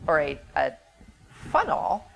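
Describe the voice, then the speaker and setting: A woman's voice: deep voice, huge pitch swings, takes it slowly, vocal fry, a little hiss or hum, low-quality recording. A woman being interviewed as a label president, explaining things informally in a plainspoken, emphatic and wry way.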